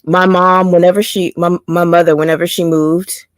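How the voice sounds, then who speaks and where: A woman's voice: lowish voice, flat delivery, moderate pace, no echo, studio quality. A woman chatting casually, rambling and often restarting her sentences. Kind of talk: casual chat.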